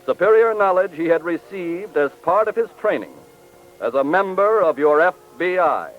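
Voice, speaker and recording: A man's voice: high voice, expressive, normal speed, over music. A man delivering formal, grave, scripted narration in the manner of a 1940s radio crime series. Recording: tinny, band-limited old radio recording.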